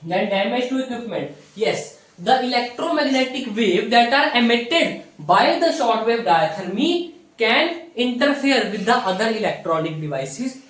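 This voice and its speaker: A woman with an Indian accent giving an explanatory physiotherapy lecture in a didactic way, repeating key points with little checking tags. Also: medium pitch. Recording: very echoey room, distant mic.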